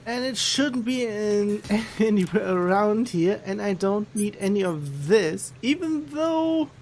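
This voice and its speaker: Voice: medium pitch, animated, takes it slowly, a little mellow, a little hiss or hum. A game streamer giving casual, rambling, hesitant commentary while playing.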